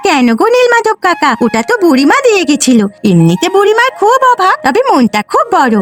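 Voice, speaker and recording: A woman's voice: very high voice, big pitch swings, brisk, faint music behind. A woman voicing a friendly, curious young villager in a cartoon, sweet and inquisitive. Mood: cheerful, curious. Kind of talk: Bengali cartoon dialogue.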